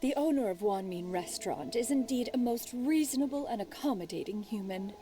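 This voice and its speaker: A woman's voice: a little high, animated, brisk, warm tone, distant mic, a little hiss or hum, close to an everyday voice. A woman playing a proud immortal master in human form in a video game, speaking pompously and formally. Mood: stately, curious. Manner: pompous, formal, refers to self as one. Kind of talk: video game character dialogue.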